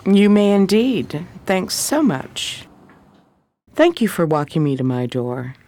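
A woman's voice: on the low side, big pitch swings, slow pace, mellow, exaggerated voice. A woman playing a bold, curious, teasing heroine in a 1920s period radio crime drama. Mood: sociable, curious. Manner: sociable, probing, slangy.

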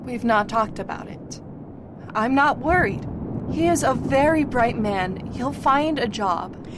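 A woman's voice: on the high side, slow pace. A woman playing a determined young woman who firmly defends her fiancé to her mother, in an amateur radio drama. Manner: firm, patient, defiant. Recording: noisy recording with steady hiss.

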